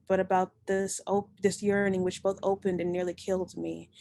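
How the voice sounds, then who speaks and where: A young woman reading her own poems aloud in a slow, measured way, with loose, chatty asides between them, speaking into a computer mic from home. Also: mid-range voice, a little mellow. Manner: slow, measured, deliberate recitation. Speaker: poet and host of the reading. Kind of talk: poetry reading.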